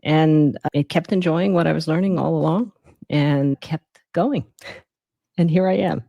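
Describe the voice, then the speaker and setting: A middle-aged woman from Guyana who grew up in New York, telling her personal story reflectively as an interview guest, with thoughtful, deliberate phrasing. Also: low voice, creaky, mellow, no echo, lo-fi sound. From born in Guyana, raised in the Bronx from age seven. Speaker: interview guest, emergency physician.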